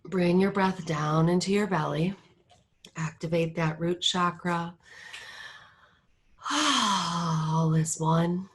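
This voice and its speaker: A woman leading a guided meditation in a calm, unhurried way, her voice drifting between a soft murmur and a whisper. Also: fairly low pitch, husky.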